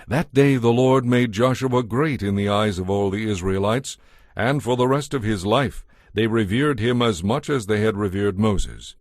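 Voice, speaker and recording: Low-pitched man narrating scripture solemnly. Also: moderate pace, no echo, studio quality. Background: background music.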